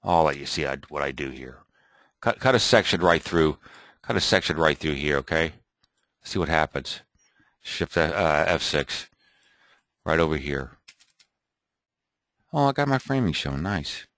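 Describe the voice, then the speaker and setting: A man thinking aloud and muttering to himself as he clicks through an off-the-cuff software tutorial at his computer. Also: extremely low pitch, lively intonation, measured pace, pauses often, no room sound. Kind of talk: off-the-cuff screen recording tutorial.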